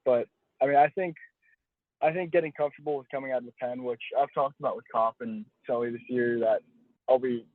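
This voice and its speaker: A young man answers interview questions casually in a mid-pitched voice, circling back and rephrasing as he talks. The sound is a lo-fi phone call, dull and dry, with no sense of room. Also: fairly even intonation, fairly quick, no echo. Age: young adult. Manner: hedging, self-correcting, restating phrases. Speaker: college baseball pitcher being interviewed.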